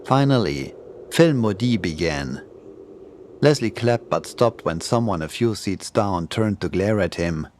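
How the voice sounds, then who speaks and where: A man narrates a horror tale in a fairly low voice. His scripted reading sits over clearly audible noise and ambience. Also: expressive, unhurried, a little mellow.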